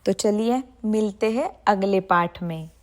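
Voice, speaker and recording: A young woman teaches a children's lesson, reading each sentence and repeating it. She speaks very slowly, at a middle pitch, and keeps checking with questions. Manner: slow, repeats sentences, asks pupils questions. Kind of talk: explaining Hindi idioms to children.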